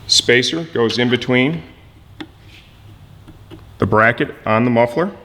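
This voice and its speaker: A man presenting a hands-on mechanical how-to, instructive and often checking in with a quick "okay". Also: mid-range voice, pushed and bright, big-room sound.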